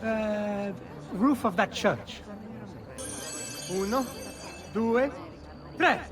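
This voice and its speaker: High-voiced teasing Italian brother. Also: male voice, drawn-out words, music in the background. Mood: playful. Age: young adult. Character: teasing, carefree young Italian older brother. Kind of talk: video game cutscene acting.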